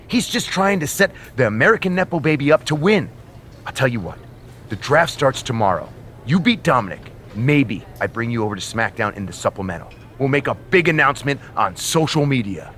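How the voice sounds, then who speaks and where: A scheming wrestling brand manager in a video game, smug and conspiratorial. His pitch moves a lot, with faint ambience underneath. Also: sing-song, a little fast, fairly bright tone, slight background noise.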